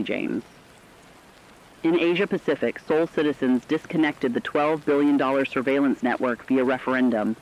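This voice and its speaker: Muffled male news anchor, mid-pitched. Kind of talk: scripted news narration. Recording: dull lo-fi radio sound.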